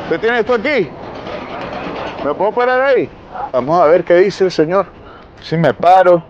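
A man's voice: high voice, animated, muffled recording. Man, Venezuelan accent, 40s, indignant questioning, inside a car, slightly raised voice, faint street hum. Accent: Venezuelan Spanish. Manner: inquisitive, indignant, drawn-out emphatic repeats. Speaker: street reporter. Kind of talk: on-the-street reporting, questioning attendants.

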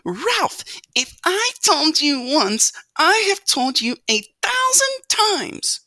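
A very high, piercing mother mouse from a storybook, forever anxious and fussing. She scolds and pleads in a bright, squeaky pitch that swoops about. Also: sing-song, takes it slowly, dry recording, full character voice. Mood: scolding, frightened. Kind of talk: children's storybook character voice.

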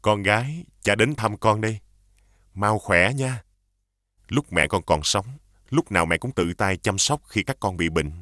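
Cartoon king, gentle, grieving, low voice, dry close sound, faint music. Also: animated, unhurried, dry recording. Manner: gentle, warm toward his children. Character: grieving widowed king, fond father. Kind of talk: dubbed cartoon acting.

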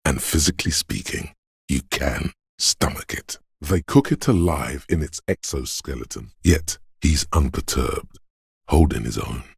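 An actor playing a grave, stern inner voice of endurance in a game, narrating bluntly and deliberately in a husky, gravelly tone. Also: male voice, extremely low pitch, animated, dry recording, clean studio sound, full character voice. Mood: hard-bitten. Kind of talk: scripted second-person game narration.

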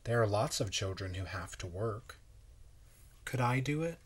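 Male, thirties, lower register, measured and wondering storybook read-aloud. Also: animated, background noise. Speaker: children's story reader. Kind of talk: picture book read-aloud. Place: small bright room at home, indoors.

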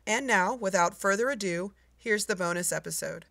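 Creaky-voiced woman announcing, dry recording. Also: mid-range voice, normal speed, fairly bright tone, no echo. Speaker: podcast creator giving an introduction. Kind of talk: scripted podcast announcement.

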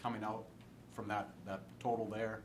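Man, alderman, lowish voice, hesitant, noisy chamber. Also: little pitch movement, moderate pace. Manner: hesitant, working through numbers. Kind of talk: council questioning. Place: council chamber.

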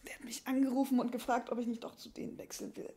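A woman playing a casual female lumberjack in an improvised audio play, talking in halting, colloquial fragments. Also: on the high side, flat delivery, warm tone, lightly acted. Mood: hesitant, casual. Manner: halting colloquial chat, trailing off. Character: female lumberjack, casual, hesitant about a job offer. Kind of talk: improvised audio drama dialogue. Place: small dark curtained stage. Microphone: stand microphone.

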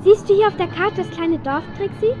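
A woman's voice: extremely high pitch, fairly quick, dull audio. An actress playing a friendly, earnest teenage girl in a children's radio play. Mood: keen.

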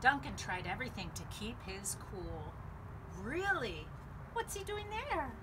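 A woman's voice: a little high, measured pace. A middle-aged American woman reading aloud to children at a library storytime, exclaiming and adding chatty asides, outdoors on a patio. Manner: animated, exclaiming, chatty asides to young listeners. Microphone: camera mic a short distance away. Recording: distant outdoor mic, clear background noise.

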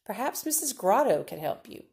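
A woman's voice: fairly high pitch, sing-song, moderate pace, creaky, darkish tone, pitched above the performer's own voice. A woman reader voicing a prissy, polite neighbor mother.

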